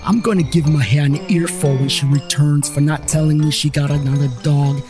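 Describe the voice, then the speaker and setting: Higher-voiced man narrating a story over music. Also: steady tone, a little fast. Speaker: storyteller narrating a first-person tale.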